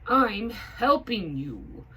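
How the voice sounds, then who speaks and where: A woman voicing an eager, indignant male talking hat in a children's story, exclaiming in slow, emphatic words. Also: a little high, sing-song, sharp tone, slightly rough, pitched above the performer's own voice, exaggerated voice, low-quality recording. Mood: insistent.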